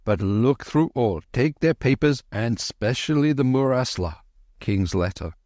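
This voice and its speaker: A man voicing an excitable, anxious middle-aged clerk, fretful and pleading. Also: unhurried, dry recording, natural voice. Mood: urgent whisper. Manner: fretful, pleading, high-strung.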